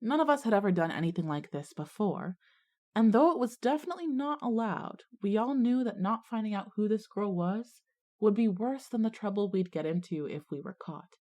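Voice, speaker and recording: A woman's voice: medium pitch, lively intonation, moderate pace, darkish tone, close to an everyday voice. A woman playing a resourceful, take-charge schoolgirl who both narrates and speaks in an audio drama. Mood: suspenseful narration. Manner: decisive, organizing. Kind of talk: audio drama dialogue and first-person narration.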